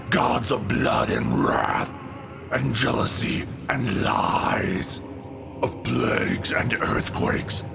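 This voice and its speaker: A man voicing a menacing, contemptuous butcher of gods in a comic book drama, with a whispery rasp and a taunting delivery. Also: on the low side, voice pushed down, full character voice. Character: sinister male god-killing butcher, menacing and contemptuous. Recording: dramatic music behind the voice.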